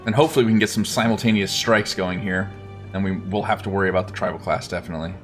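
A man giving casual, unhurried gameplay commentary, thinking aloud as he plans. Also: sits low, steady tone, faint music behind. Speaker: gaming video host.

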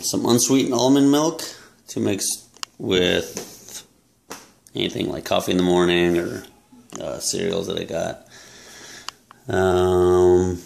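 A young man talking casually to the camera about his grocery haul, relaxed and halting, with many ums and uhs, in a small indoor room. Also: sits low, gravelly, slight background noise. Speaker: fitness vlogger. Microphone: camera close up at arm's length.

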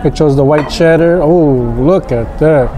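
A man in his thirties reviewing restaurant food in a casual, halting way, full of fillers, on an outdoor patio with a camera on the table. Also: a little high, faint music behind. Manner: slow, relaxed, halting with many fillers. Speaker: food vlogger. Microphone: camera on table across from him.

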